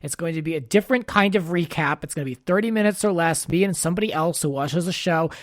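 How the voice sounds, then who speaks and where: A man's voice: fairly high pitch, fast, no echo, studio quality. A man hosting a podcast, chatting casually and giving his opinions freely with lots of filler words. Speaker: podcast host. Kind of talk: casual pop culture chat.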